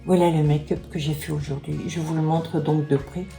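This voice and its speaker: A woman's voice: sits low, little pitch movement, a little fast, vocal fry, dark tone, soft background music. A woman in her sixties chatting casually through a makeup tutorial and product review, filling her talk with little asides. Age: 60s. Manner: chatty, fills with "hein" and "voilà". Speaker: beauty vlogger.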